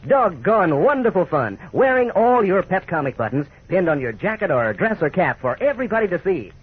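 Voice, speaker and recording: American man, 1940s commercial announcer, highish voice, slightly husky, enthusiastic and folksy, phone-like vintage radio sound. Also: sing-song, fairly quick. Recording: tinny, narrow 1940s radio recording.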